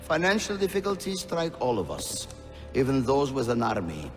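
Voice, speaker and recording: An older actor playing an embittered, scornful patriarch in a video game, speaking formally and sarcastically. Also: male voice, medium pitch, wildly swooping pitch, normal speed. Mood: cool scorn. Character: scornful, embittered old patriarch rebuking his son. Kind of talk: dramatic game cutscene acting. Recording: long hall reverb under loud music.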